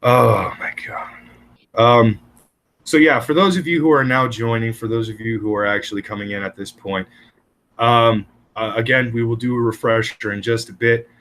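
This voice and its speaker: A man's voice: medium pitch, steady tone, normal speed, a bit bright, a little hiss or hum. A man hosting a live stream, chatting casually and bluntly with heavy swearing and exasperated rants. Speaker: stream host. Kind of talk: casual profane stream chat.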